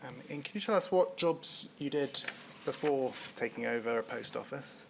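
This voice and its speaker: A man with a gravelly voice at a middle pitch, leading a courteous formal questioning. He speaks politely and often pauses on ums. A faint hiss runs underneath. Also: lively intonation. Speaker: questioning counsel.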